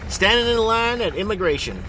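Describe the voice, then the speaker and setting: A middle-aged man, rambling casually to viewers in an animated way and repeating his questions emphatically. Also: high-pitched, fairly quick. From Ontario, Canada. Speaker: vlogger. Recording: engine and road noise in car.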